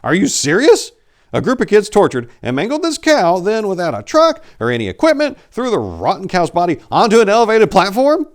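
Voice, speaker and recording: An earnest young priest played by a male reader in a horror tale, insistent and jumpy. He speaks in an urgent, emphatic voice that rises above a low natural register. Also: wildly swooping pitch, fairly quick, heavily acted. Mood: indignant, incredulous.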